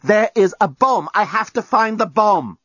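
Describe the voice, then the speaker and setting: A man plays a snappy, sarcastic alien reporter in a comic sci-fi storybook. He is hurt and impatient, his voice strained, husky and raised in pitch. The sound is a little muffled. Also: sing-song, a little fast, no room sound. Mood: urgent. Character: gruff, impatient, injured male alien journalist, snappy and sarcastic.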